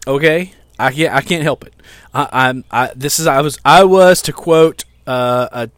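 A man's voice: medium pitch, a little slow, fairly bright tone, dry recording, studio quality. A man, hosting a sermon-like podcast from outdoors, rambling and repeating words with self-deprecating humor. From Louisiana, American South. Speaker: podcast host.

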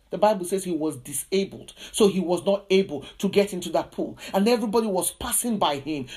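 A woman's voice: lowish voice, dark tone. A woman preacher delivering a charismatic sermon and prayer, emphatic and insistent, with repeated declarative phrases.

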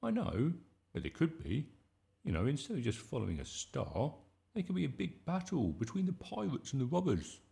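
A man's voice: mid-range voice, huge pitch swings, normal speed, vocal fry, mellow, voice pushed up, heavily acted. A scrappy young schoolboy from a children's storybook, played by a man. He is cheeky and stubborn, speaking in blunt, insistent phrases with plenty of up and down. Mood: eager, insistent. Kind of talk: children's audiobook character voice.

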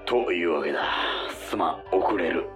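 An actor playing a sheepish, lovestruck middle-aged department manager in a comic radio drama, halting and bashful in his speech. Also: sits low, lightly acted. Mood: doting, flustered. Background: background music.

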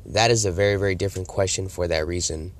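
Male sales coach, low voice, a bit congested, chatty and conversational. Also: steady tone, quick pace. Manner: conversational, acts out customer and salesperson lines. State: congested from a cold. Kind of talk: casual instructional talk to camera.

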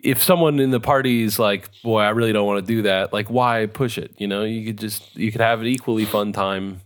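Middle-aged male co-host, low voice, wry rambling chat. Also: brisk. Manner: rambling, wry, laughs often. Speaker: podcast co-host. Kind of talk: casual chat.

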